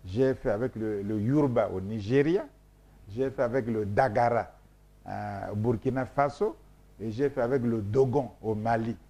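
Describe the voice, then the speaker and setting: A man from Congo whose mother tongue is Mboshi lays out his research as an interview guest. He talks in an emphatic, lecturing, repetitive way with many hesitations. His voice is mellow and dark, at a medium pitch. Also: normal speed, gravelly. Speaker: scholar being interviewed. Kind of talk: explanatory interview talk.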